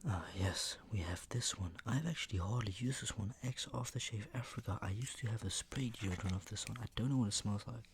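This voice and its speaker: A soft-spoken man rambling casually in an ASMR style, often dropping into a whisper. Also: fairly low pitch, fairly quick, few pauses. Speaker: ASMR video host. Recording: clear background noise.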